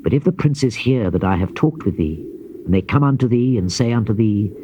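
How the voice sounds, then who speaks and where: A man giving a solemn, measured, theatrical scripted narration of scripture in a declamatory manner. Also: on the low side, animated, mellow. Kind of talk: dramatized Bible reading, theatrical scripted narration. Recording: dull lo-fi cassette with noise.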